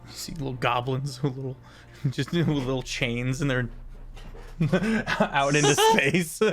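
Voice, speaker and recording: A man's voice: fairly high pitch, slow, soft background music. A man narrating as game master in a tabletop role-playing game, rambling loosely and laughing often.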